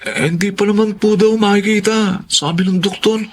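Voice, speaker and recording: An actor playing an anxious, hesitant teenage younger brother who pleads and stammers in a radio drama. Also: male voice, high-pitched, steady tone, moderate pace. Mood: worried, pleading. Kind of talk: Tagalog radio drama acting.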